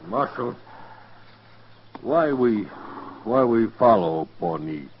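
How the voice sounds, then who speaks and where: An actor playing a wary, blunt native army scout in a 1950s radio western, speaking halting broken English. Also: male voice, on the low side, lively intonation, slight background noise. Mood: blunt, questioning. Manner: halting broken English in short clipped phrases. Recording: dull lo-fi 1950s radio recording.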